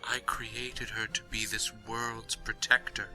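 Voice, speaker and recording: A man's voice: on the low side, level tone, takes it slowly, over music. A man playing an ancient, coldly menacing vampire lord in an audio drama, speaking in an imperious, formal, disdainful way. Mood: grave, hushed.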